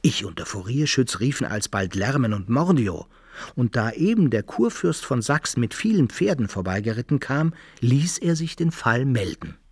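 A man's voice: medium pitch, sing-song, normal speed, darkish tone, slightly rough, no room sound. A man playing an adventurer who recounts his own tale expressively in archaic language in a radio drama. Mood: dramatic recounting. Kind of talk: first-person radio drama narration.

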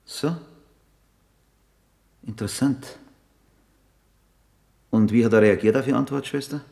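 An actor playing a persistent police detective in a German radio drama, questioning in measured, insistent short sentences. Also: male voice, medium pitch, gravelly, a little mellow. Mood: probing, dry. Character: probing, persistent male police detective inspector.

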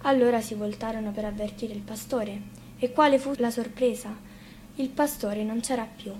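Lady reading a storybook out loud, expressive, kinda high voice, bit of noise behind. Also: normal speed. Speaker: fairy-tale reader. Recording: faint noise, live radio reading.

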